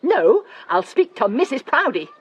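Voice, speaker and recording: A poor English clergyman's wife, fierce and full of feeling. Her high voice swoops dramatically in the manner of a period radio play. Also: very animated, measured pace, breathy edge, exaggerated voice. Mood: bitter, determined. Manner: forceful, emotional, scornful.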